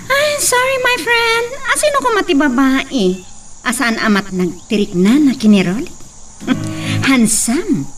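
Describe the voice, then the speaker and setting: A woman's voice: sits high, big pitch swings, measured pace, fairly bright tone, faint music behind, exaggerated voice. A woman playing a smug, taunting young woman. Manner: taunting, mixing in English, laughing. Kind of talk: amateur drama acting.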